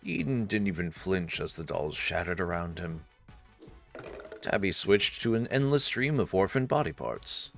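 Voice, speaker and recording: Deep-voiced man narrating a story. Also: lively intonation, measured pace, creaky. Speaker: narrator of a visual novel playthrough. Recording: soft music behind the voice.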